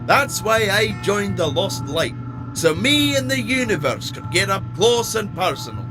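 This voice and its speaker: A small, naive robot adventurer in an audio drama, acted by a man, excitable and guileless. The voice is high and very animated yet takes its time, set over music. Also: measured pace. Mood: excited, chirpy. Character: naive, chirpy, eager little male robot adventurer.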